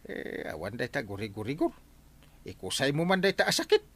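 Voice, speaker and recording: An actor with an Ilocano accent playing a boastful, jolly village captain in a radio comedy drama, exclaiming and chuckling. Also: male voice, medium pitch, wildly swooping pitch, fairly quick, a bit bright, husky, full character voice. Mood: teasing.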